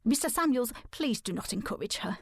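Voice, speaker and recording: A woman's voice: a little high, animated, fairly quick, creaky, breathy edge, dry recording, heavily acted. A woman playing a prim, disapproving housekeeper in a period audio drama, stern and proper. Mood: indignant. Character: prim, disapproving female housekeeper, protective of her master.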